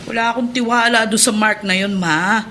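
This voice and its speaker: A woman's voice: fairly high pitch, fairly even intonation, normal speed, pushed and bright, a little hiss or hum. A woman playing a bitter, suspicious aunt in a Filipino radio drama, with a scolding, exasperated manner. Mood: resentful, irritated. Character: bitter, suspicious aunt and resentful wife, short-tempered.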